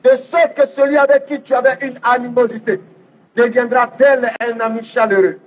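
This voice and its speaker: Guy preaching islam, really high voice, teaching hard and repeating lines, muffled lo-fi audio. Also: level tone, moderate pace. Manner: emphatic, didactic, repeats readings phrase by phrase. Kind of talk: public religious debate preaching. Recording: dull, lo-fi sound.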